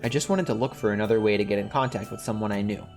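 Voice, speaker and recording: A man's voice: on the low side, racing, darkish tone. Man narrating a horror game over faint music. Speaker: gaming video host. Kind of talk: reading visual novel narration aloud with casual asides.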